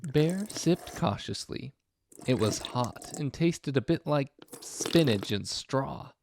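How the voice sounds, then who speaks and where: A man narrating a children's picture book aloud in an expressive storytelling style. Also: mid-range voice, takes it slowly, creaky, slight background noise.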